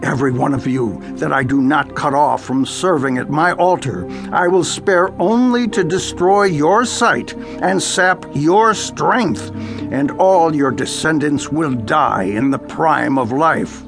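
Slow, gravelly stern prophet. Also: male voice, a little high. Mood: grave, condemning. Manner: grave, deliberate, declamatory. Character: stern prophet delivering God's judgment. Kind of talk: dramatized scripture audiobook acting. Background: music.

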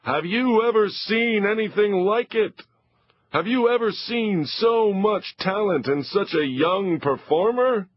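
A man voicing an excited television host, gushing in a raised, high voice. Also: slow pace, full character voice. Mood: amazed. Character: excited male TV show host. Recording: dull, dry lo-fi recording.

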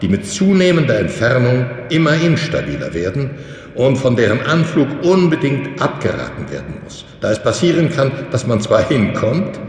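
Echoey, methodical lecturing professor. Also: male voice, medium pitch, wildly swooping pitch. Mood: methodical, instructive. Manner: formal, didactic, measured explaining. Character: male professor lecturing trainee pilots, instructive and methodical. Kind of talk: German sci-fi audio drama lecture. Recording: echoey lecture hall, music underneath.

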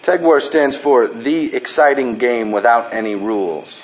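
A man playing a dry, deadpan ballplayer. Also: mid-range voice, a little slow, lo-fi sound, a little hiss or hum, lightly acted. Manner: dry, deadpan, formal phrasing. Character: wry, dry-witted ballplayer narrating, adult man. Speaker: narrator and character. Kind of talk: radio drama acting.

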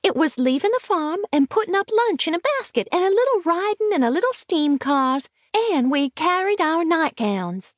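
A woman's voice: very high-pitched, sing-song, moderate pace, hardly any pauses, dry recording, studio quality, voice pushed up. A woman voicing an eager, wilful ten-year-old storybook girl with a small treble voice. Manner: eager, insistent.

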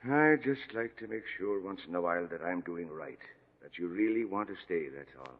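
An actor playing a gruff but tender old lighthouse keeper in a radio drama, speaking affectionately in folksy seafaring dialect. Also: male voice, low voice, lively intonation, fairly quick. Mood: tender, wistful. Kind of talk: 1940s radio acting. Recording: dull vintage radio broadcast, long reverb.

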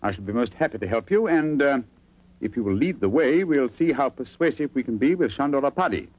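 A man plays an exacting English gentleman detective in a vintage radio drama. He speaks rapidly and crisply, with a commanding theatrical manner and a very animated pitch. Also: muffled recording, lightly acted. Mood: assured. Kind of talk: 1940s radio drama acting. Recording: vintage 1940s radio broadcast recording.

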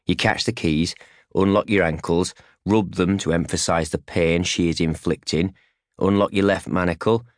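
Male narrator for literary fiction audiobook. Low, deep register, clipped short phrases, very dry recording. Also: steady tone, moderate pace, no room sound. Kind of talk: scripted first-hand fiction narration in second person. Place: recording studio.

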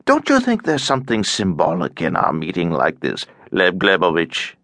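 A man's voice: sits low, huge pitch swings, normal speed, fairly bright tone, no echo, studio quality, heavily acted. A man voicing a cheerful, tiresome, garrulous elderly Russian émigré in an audiobook, droning on with over-familiar chattiness. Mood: musing, insistent. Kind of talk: audiobook character acting.

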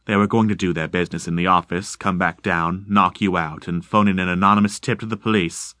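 A man reading aloud, voicing a mysterious unseen stranger in the fog who speaks slowly and formally in a deep, insubstantial voice. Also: fairly even intonation, a bit bright, no room sound, clean studio sound. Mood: calm, menacing. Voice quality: deep and insubstantial, slow, measured.

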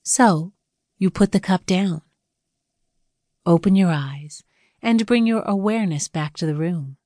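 A woman with a slightly low voice narrates a guided meditation. She speaks very slowly and soothingly, leaving space between her instructions. The sound is dry, with no room around the voice. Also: a little mellow, no echo. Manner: measured, soothing instructional delivery with pauses.

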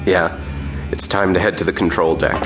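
An actor playing a bossy, impatient, scheming hijacker in a science fiction radio play. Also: male voice, sits low, flat delivery, moderate pace, close to an everyday voice. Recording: roomy echo, music behind.

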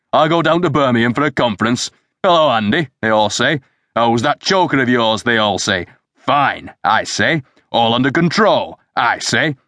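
A man's voice: lowish voice, fairly bright tone, dry recording, clean studio sound, lightly acted. A man voicing a fat, sarcastic, blustering senior police detective in an audiobook. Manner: bellowing, sarcastic, coarse. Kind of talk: audiobook character dialogue.

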